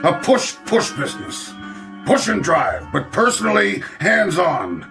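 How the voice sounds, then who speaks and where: An actor playing an overbearing, self-important older advertising boss in a radio drama, pompous, hectoring and repetitive. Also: male voice, sits high, big pitch swings, measured pace, vocal fry, music in the background, heavily acted. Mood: scornful, domineering.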